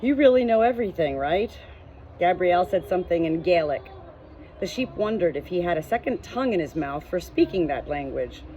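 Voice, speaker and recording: A woman's voice: lowish voice, expressive, a little fast, dark tone, a little hiss or hum. A woman reading an audiobook as scripted story narration. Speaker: audiobook reader. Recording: muffled, with music behind. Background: music.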